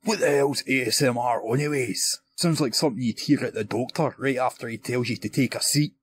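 A man's voice: mid-range voice, brisk, breathy edge, close to an everyday voice. A man playing a crude, short-tempered Scottish man with a heavy Scottish accent, swearing constantly in a comedy sketch that mocks ASMR. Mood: scoffing.

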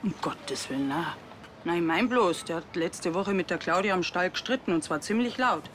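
An actress playing a frank, chatty bakery waitress with a Bavarian accent in a TV crime comedy. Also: female voice, lowish voice, expressive, moderate pace, fairly bright tone, slight background noise. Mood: gossipy. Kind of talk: television crime comedy acting.

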